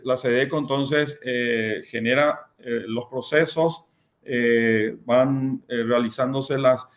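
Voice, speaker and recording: A man giving a conference presentation as a panelist, hesitant and full of fillers and repeated words. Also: medium pitch. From Paraguay.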